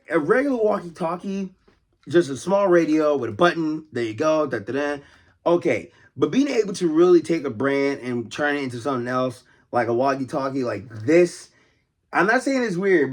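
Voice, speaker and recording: A man hosting a casual collector's video, talking off the cuff in a rambling, enthusiastic way and exclaiming over details. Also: mid-range voice. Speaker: host of a toy collecting video series. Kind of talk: casual off-the-cuff toy show-and-tell.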